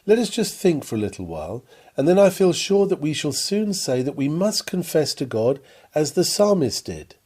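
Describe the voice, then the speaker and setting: A man reading an old-fashioned sermon aloud in an earnest, rhetorical manner with deliberate phrasing. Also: on the high side, sing-song, a little mellow, husky. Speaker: sermon reader.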